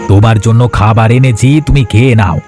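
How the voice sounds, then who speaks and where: A man voicing a calm, scheming male captor in a cartoon, speaking in flat, businesslike threats. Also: fairly low pitch, quick pace, faint music behind, lightly acted.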